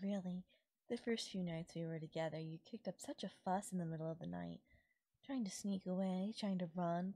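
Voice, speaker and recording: Yandere fairy for ASMR-style roleplay, played by a woman: female, mid-pitched, creaky, doting, possessive, teasingly affectionate. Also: dry recording. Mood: sweet, smug. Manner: coaxing, affectionate, softly teasing. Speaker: voice actor. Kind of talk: intimate audio roleplay, ASMR-style acting.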